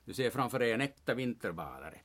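A man playing a gruff, cheeky, stubborn middle-aged artist in an old-time radio comedy, blustering and declaiming with sardonic bite. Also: medium pitch, big pitch swings, fairly bright tone. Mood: gruff, mocking.

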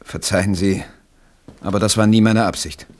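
Man acting royal treasurer, lowish voice, formal, deferential yet blunt, period radio drama. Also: fairly even intonation, moderate pace, lightly acted. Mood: apologetic, restrained. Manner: formal, courteous. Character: deferential but blunt royal finance minister.

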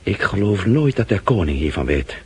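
An actor playing a proud, indignant French marquis in a radio drama, with a husky voice and expressive aristocratic manner. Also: male voice, low voice, normal speed, full character voice. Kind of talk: period radio drama acting.

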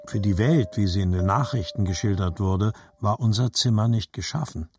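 A man's voice: fairly low pitch, moderate pace, a little mellow, soft background music, close to an everyday voice. A man narrating scripted literary childhood memories as a grown grandson in an audio drama. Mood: reflective.

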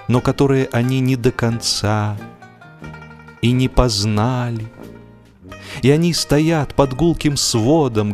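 A man narrating a literary story in an expressive, dramatic radio style, telling it in the first person with deliberate pauses. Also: medium pitch. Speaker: audiobook narrator, actor. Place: radio studio. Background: music.

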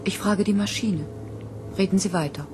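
A woman's voice: mid-range voice, normal speed, music in the background, natural voice. An actress playing an artificially created young woman in a 1970s German radio drama, terse, literal and detached, still learning human feelings. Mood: detached, cool.

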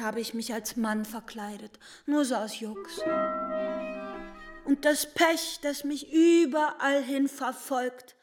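An actress playing a defiant, exasperated young woman confessing her lies in an indignant, expressive literary radio play manner. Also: fairly high pitch, lightly acted. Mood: rueful, indignant. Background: mallet percussion music.

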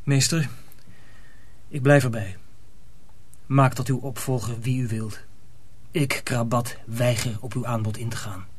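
An actor playing a stubborn, defiant young apprentice sorcerer in a radio drama, earnest and resolute. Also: male voice, mid-range voice, fairly even intonation, measured pace, long pauses, a little hiss or hum, natural voice. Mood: resolute, subdued.

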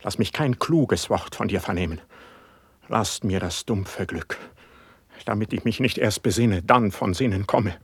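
An actor playing an anguished, distrustful poet on the edge of madness, declaiming classical verse in a radio play. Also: male voice, lowish voice, unhurried, breathy edge, dry recording. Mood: agitated, pleading. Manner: declamatory, lamenting, impassioned.

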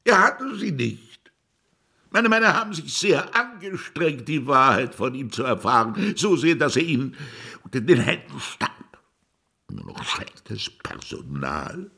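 An actor playing a wily, self-pitying crime boss in a radio play, gravelly and theatrical, swooping between wheedling and grumbling. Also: male voice, a little high, very animated, measured pace, bright, forceful tone, full character voice. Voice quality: gravelly, husky. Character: shady Chinese crime boss, wily, self-pitying, touchy about his reputation.

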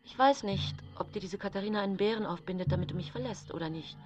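Actress playing clinging mistress, thirties, scheming, pleading, intense, radio drama, music behind. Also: female voice, medium pitch, fast, highs cut off, lightly acted. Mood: pleading, determined.

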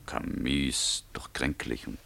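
An actor playing an anxious, lovesick man, the secret lover of a married woman, speaking haltingly and often in whispers in a German radio play. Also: rumbling low, fairly even intonation, vocal fry, bright, forceful tone, slightly rough, full character voice. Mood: hesitant, alarmed. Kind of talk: German radio play acting, intimate and dramatic.

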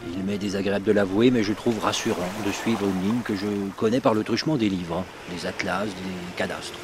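A man's voice: low-pitched, fairly even intonation, brisk, music in the background. A man speaking in a formal, considered way as a documentary interviewee. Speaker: cartographer being interviewed. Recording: outdoor waterside sound, clear background noise.

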